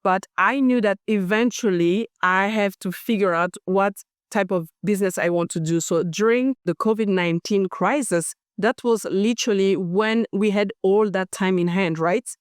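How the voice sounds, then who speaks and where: Woman, company founder, earnest storyteller; smooth, dry close sound. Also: medium pitch, normal speed, no room sound. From Democratic Republic of the Congo. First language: Chiluba. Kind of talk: podcast interview.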